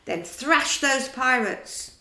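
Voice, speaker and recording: A woman storyteller puts on a cocky boy hero, bold and commanding. His voice sits higher and carries a gravelly grain at a slow pace. The room adds a clear echo. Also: expressive, sharp tone, heavily acted. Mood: rallying.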